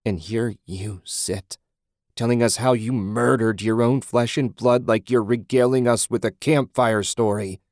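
A man voicing a furious, grieving woman in an audiobook, vengeful, forceful and profane as the character confronts someone. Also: fairly low pitch, measured pace, dry recording, clean studio sound. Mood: enraged, accusing.

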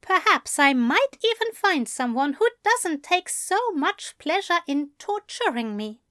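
Woman acting as prim male lover, very high voice, swooping intonation, playful indignation, audio drama. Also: sing-song, measured pace, a bit bright, no room sound, clean studio sound, heavily acted.

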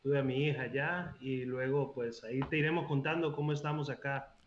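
A man from Honduras, a guest answering interview questions casually with soft hesitations. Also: medium pitch, steady tone, brisk, background noise. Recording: dull, roomy remote call audio.

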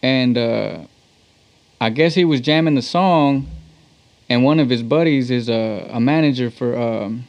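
Male interview guest, slangy musician in relaxed conversation, slightly lo-fi muffled sound. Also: medium pitch, unhurried. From Texas. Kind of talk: casual chat.

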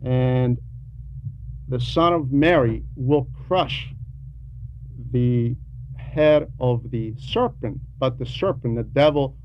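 Slow-speaking man preaching, muffled noisy recording. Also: medium pitch. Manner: deliberate, emphatic repetition, explanatory. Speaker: preacher reading a translated homily. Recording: dull lo-fi sound with background noise.

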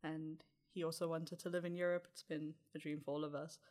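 A woman's voice: deep voice, flat delivery, fairly quick, a little mellow. A young woman with a South African accent answering interview questions in a chatty way, laughing lightly now and then. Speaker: interview guest.

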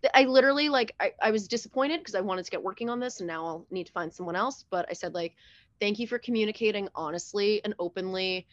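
A young woman as a podcast guest in casual chat, animated and profane, full of quick jokes and fillers. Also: mid-range voice, no echo, muffled recording.

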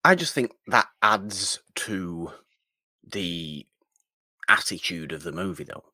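Male podcast co-host, low and forceful, casual film talk, restates points emphatically, dry recording. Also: expressive, takes it slowly, no echo.

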